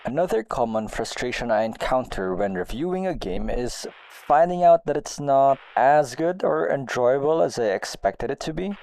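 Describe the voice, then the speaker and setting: Male blogger, medium pitch, animated intonation, deliberate and expressive read of a personal essay. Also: poor audio. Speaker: video game blogger. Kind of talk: reading a personal essay aloud.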